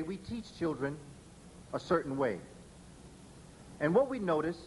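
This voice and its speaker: A man giving a public lecture in a measured, emphatic way, often spelling out letters slowly for emphasis. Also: fairly high pitch, a little hiss or hum, low-quality recording.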